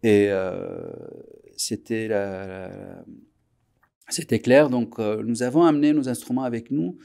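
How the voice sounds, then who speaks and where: Man, 40s, from Iran, Persian native speaker; gravelly, reflective interview guest who pauses to find words. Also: fairly low pitch, big pitch swings, mellow. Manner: unhurried, reflective, pauses often to search for words. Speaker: musician guest. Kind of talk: relaxed interview recollection.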